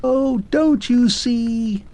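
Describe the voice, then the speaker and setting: A man doing a shrill fading clockwork man. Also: very slow, a little mellow, full character voice. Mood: faint shrill. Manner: pleading, wistful, grows faint and distant.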